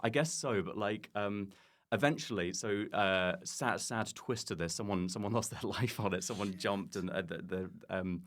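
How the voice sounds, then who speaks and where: British man, low-pitched voice, chatty and rambling, casual podcast comedian. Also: moderate pace, fairly bright tone.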